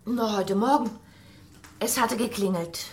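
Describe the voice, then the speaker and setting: An actress playing a cheerful young adult daughter in a radio drama, chatting in a lively, girlish, gossipy way. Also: mid-range voice, unhurried, slight background noise, natural voice. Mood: excited, chatty. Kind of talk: German radio drama acting.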